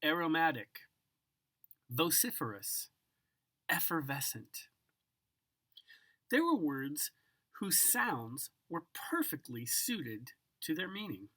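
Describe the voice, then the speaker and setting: A woman giving an expressive children's picture book read-aloud, savoring words dramatically and speaking directly to the listener. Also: low-pitched. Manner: savors single words with drawn-out, dramatic delivery and occasional whispers. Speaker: storybook reader.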